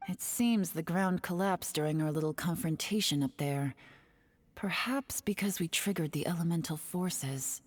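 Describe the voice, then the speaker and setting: A woman's voice: lowish voice, moderate pace, natural voice. A woman voicing a cool, self-assured female agent in a video game, composed and dry with a subtle menace.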